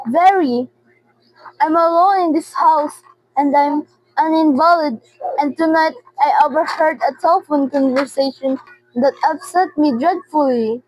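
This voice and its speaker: A teenage girl acting in a radio drama as a frantic, demanding invalid woman alone at night, anxious and repeating herself haltingly. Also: high voice, darkish tone, no room sound, poor audio. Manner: anxious, imperious, halting repetitions.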